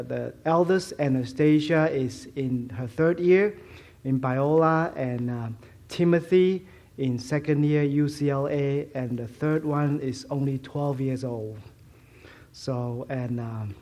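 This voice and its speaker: A middle-aged man from Malaysia preaching a sermon in English, with a mixed Malaysian, Hong Kong and Fuzhou accent, halting with many fillers and restarts and self-deprecating humor. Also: fairly high pitch. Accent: Malaysian Chinese English, mostly Fuzhounese-tinged.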